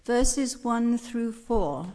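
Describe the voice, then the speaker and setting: A woman reading scripture aloud during a church service in a measured, formal way. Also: a little high, lively intonation, warm tone. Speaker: scripture reader. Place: church sanctuary. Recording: church hall reverb.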